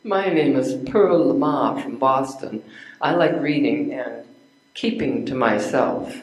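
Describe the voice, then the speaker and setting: An older woman playing a bookish, sharp-tongued young lady in amateur readers theater, with a dry, deliberate delivery. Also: very deep voice. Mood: skeptical. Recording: echoey room recording.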